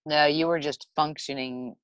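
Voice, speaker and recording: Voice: medium pitch, steady tone, normal speed, fairly bright tone, clear, resonant tone, no room sound, low-quality recording. A host on a casual video call who backs up the others and asks follow-up questions. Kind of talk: casual group video chat.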